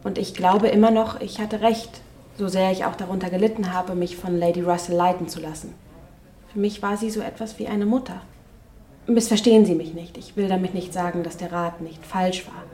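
Steadfast young gentlewoman, period radio drama actress. Soft mellow tone, measured and earnest, audible room echo. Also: fairly low pitch, steady tone, close to an everyday voice. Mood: earnest, reflective.

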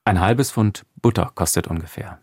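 Low-pitched man hosting radio talk. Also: normal speed, darkish tone, breathy edge, no room sound, clean studio sound. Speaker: radio talk show host. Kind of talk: interview moderation, reading short questionnaire prompts. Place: dry radio studio.

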